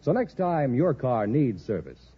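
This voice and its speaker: A man's voice: medium pitch, sing-song, unhurried, breathy edge. An American man announcing formally in the style of 1950s radio advertising, enunciating with care. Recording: dull vintage radio recording.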